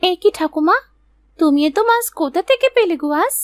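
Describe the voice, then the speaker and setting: A woman's voice: very high-pitched, lively intonation, moderate pace, no room sound, studio quality. A woman voicing a dutiful, wide-eyed young village granddaughter in a cartoon fairy tale. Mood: surprised. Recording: music under the voice.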